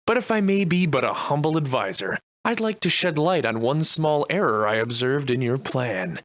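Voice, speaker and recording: A man playing a sardonic, defiant old-fashioned gentleman adventurer in a video game, speaking in florid, archaic, theatrical phrases. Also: medium pitch, animated, moderate pace, no echo, close to an everyday voice. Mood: sly, mock-humble. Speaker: voice actor.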